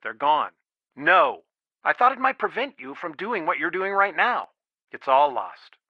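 A man's voice: fairly high pitch, very animated, a little slow, no echo, dull audio. A man voicing a stern, worried middle-aged father and lawyer in a video game, earnest, firm and protective. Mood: angry, firm. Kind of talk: video game voice acting, one side of phone conversations.

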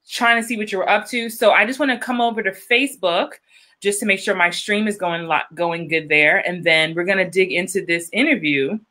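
A woman acting coach hosting a live-streamed interview, bubbly and animated, quick to laugh. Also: mid-range voice.

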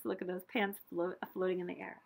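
A middle-aged woman reading a picture book aloud in an expressive, deliberate storytelling manner for children. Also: lowish voice, vocal fry. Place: small room with bookshelves, indoors.

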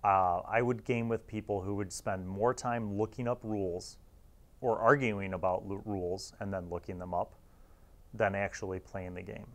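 Male host, forties, slightly deep voice. Casual, opinionated, conversational delivery, plain-spoken and blunt. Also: fairly even intonation, normal speed. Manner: conversational, blunt, often ends phrases with "right?". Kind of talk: casual opinionated review monologue. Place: small dark indoor studio. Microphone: lapel mic.

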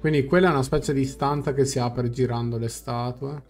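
A man's voice: medium pitch, fairly even intonation, fairly quick, darkish tone. A man in his twenties with an Italian accent, giving casual live game reactions and translations. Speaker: game streamer. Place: small room at home. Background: faint game music.

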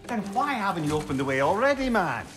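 An actor playing a witty, irritable severed head in a video game, with a Scottish accent and a cheeky, foul-mouthed manner. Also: male voice, sits high, sing-song, quick pace. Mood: wry. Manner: sardonic, cheeky, swearing freely.

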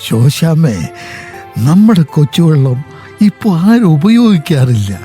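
A man playing an aging widowed father in a radio drama, slow and sorrowful. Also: on the high side, big pitch swings, mellow, faint music behind. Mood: tender. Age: older. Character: aging widowed father, tender, clinging to home.

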